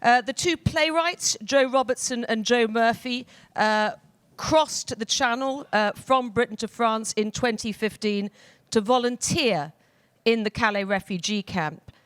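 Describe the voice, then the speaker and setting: A woman's voice: on the high side, unhurried, clear, resonant tone, no room sound, studio quality. A woman moderating a live panel discussion on stage, speaking directly into a microphone as she introduces and questions guests. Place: theatre stage, live audience event.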